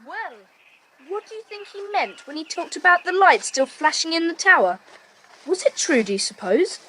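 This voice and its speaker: A woman's voice: very high-pitched, expressive, normal speed, pushed and bright, full character voice. A woman playing an eager, curious schoolboy adventurer in a children's audio drama. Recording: slightly noisy tape transfer.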